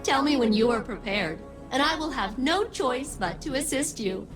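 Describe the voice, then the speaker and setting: A woman's voice: high-pitched, expressive, normal speed, music in the background. A woman playing a chipper, precise female starship AI in a video game, with formal wording. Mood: matter-of-fact. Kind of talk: scripted game dialogue.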